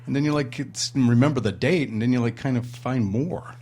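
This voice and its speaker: A man's voice: mid-range voice, fairly quick, mellow, breathy edge. A man hosting a radio talk show from a studio in a historic house, rambling with lots of fillers and a sarcastic streak. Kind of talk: casual radio chat.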